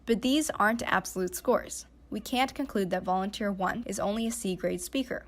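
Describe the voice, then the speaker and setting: Young woman doing a scripted voiceover for her student presentation, average pitch. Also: normal speed. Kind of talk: scripted slideshow narration. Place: voice-over recorded for slides.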